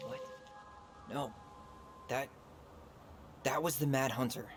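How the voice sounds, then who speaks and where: A man's voice: mid-range voice, steady tone, takes it slowly, over music, lightly acted. An actor playing an earnest, insistent young man haunted by the past, in a naturalistic video game cutscene. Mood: troubled, urgent. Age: young adult.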